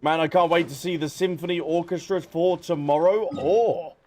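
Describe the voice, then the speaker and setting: Higher-pitched man chatting on a game livestream. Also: normal speed, a little mellow, a little hiss or hum. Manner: chatty, addresses viewers by name. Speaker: live streamer. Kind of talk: casual game livestream chat. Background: game music.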